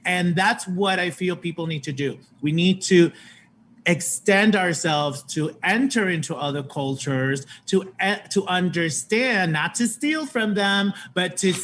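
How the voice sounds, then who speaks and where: Earnest animated man on a video call. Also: sits high, a little slow, slight background noise. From Puerto Rican and Colombian heritage. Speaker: show host on panel. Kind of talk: casual panel chat. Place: home room.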